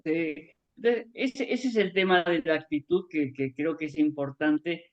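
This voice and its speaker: A man's voice: on the high side, unhurried. Middle-aged Argentine male guest, conversational storyteller who meanders, dull lo-fi call audio. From born in Japan, grew up in Argentina. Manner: rambling, conversational, acts out remembered dialogue. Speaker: guest author giving a testimony. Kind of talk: personal reminiscence in an online talk.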